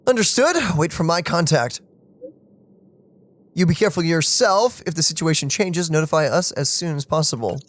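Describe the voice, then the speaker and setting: A woman's voice: low-pitched, lively intonation, moderate pace. A woman streaming a let's play, casually commenting and reading game dialogue aloud. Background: game music.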